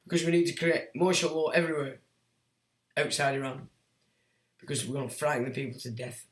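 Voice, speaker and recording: Brash, boastful older male leader, threatening, as a hoarse British man sends him up, roomy echo. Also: mid-range voice, steady tone, a little fast, voice pushed down, close to an everyday voice. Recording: echoey room.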